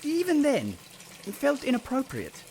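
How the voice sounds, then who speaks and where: An actress narrating a period radio drama as a grave, articulate orphan recalling childhood, in formal, literary, reflective language. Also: female voice, high voice, huge pitch swings, unhurried, mellow, a little hiss or hum, exaggerated voice. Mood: wry, reflective. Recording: music clearly behind the voice.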